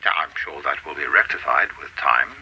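Guy playing shadowy govt man in a radio play, formal n insinuating, very crisp forceful edge. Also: extremely low pitch, moderate pace, full character voice, poor audio. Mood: knowing, condescending. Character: mysterious middle-aged official, coolly menacing caller.

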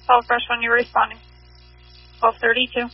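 A woman's voice: on the high side, monotone, moderate pace, soft background music. A woman working as a fire dispatcher, reading back units and times formally over a two-way radio. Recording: muffled, husky dispatch radio audio with hum.

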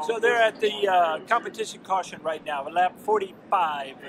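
A man's voice: high-pitched, normal speed, a loud crowd. A man in his fifties co-hosting a travel vlog, talking in an enthusiastic, folksy way outdoors at a racetrack into a handheld camera. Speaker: travel vlogger co-host. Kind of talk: casual vlog chat. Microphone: handheld camera at arm's length. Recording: outdoor noise.

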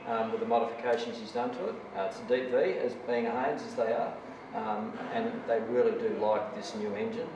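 A middle-aged mechanic explaining an outboard engine on camera, hesitant with many ums and technical detail. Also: male voice, mid-range voice, little pitch movement, fairly quick. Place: indoor workshop beside a boat. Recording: echoey workshop, distant mic, noisy.

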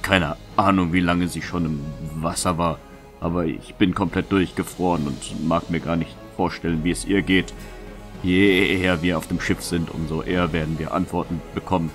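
Guy acting as weary noble knight, really low voice, earnest and commanding, fantasy radio play, faint music. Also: steady tone, normal speed, close to an everyday voice. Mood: weary, resolute. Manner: formal, earnest, authoritative. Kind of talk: fan-made fantasy audio drama acting.

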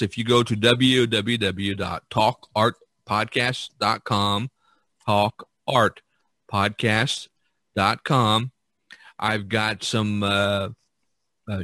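Bright-voiced man hosting a podcast. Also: mid-range voice, dry recording. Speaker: podcast host. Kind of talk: casual podcast talk.

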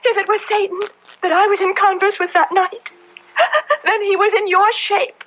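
A woman's voice: very high-pitched, level tone, normal speed, highs cut off. An actress playing a defiant, anguished young woman condemned as a witch, impassioned in an old-time radio drama. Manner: impassioned, archaic diction.

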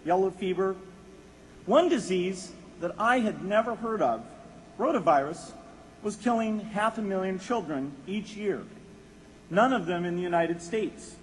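A man with a high-pitched voice talks in an earnest, storytelling way. There is faint noise behind him on the played-back clip. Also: rough recording.

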